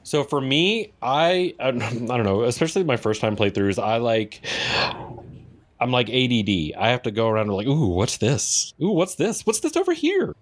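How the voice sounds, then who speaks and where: A man's voice: medium pitch, sing-song, moderate pace. A man co-hosting a podcast, chatting casually and informally about games. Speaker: podcast co-host. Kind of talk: casual gaming chat.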